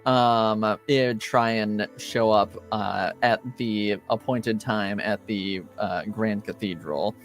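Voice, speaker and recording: A man's voice: fairly low pitch, fairly even intonation, slow pace, hardly any pauses, soft background music. A man running a tabletop game as game master, laid-back and hesitant, with many fillers.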